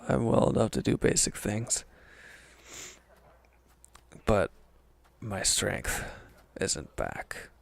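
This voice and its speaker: Man acting a gravelly, wounded, sullen villain. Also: fairly low pitch, very animated, exaggerated voice. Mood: despondent, weary. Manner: sullen, halting, sighing, dropping into near-whispers. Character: wounded, defeated male villain henchman, bitter, defensive, despondent. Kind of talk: intimate audio roleplay drama.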